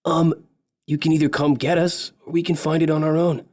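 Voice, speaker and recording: A man's voice: fairly high pitch, level tone, brisk, a little mellow, close to an everyday voice. A man voicing an earnest young male adventurer who lost his merchant father.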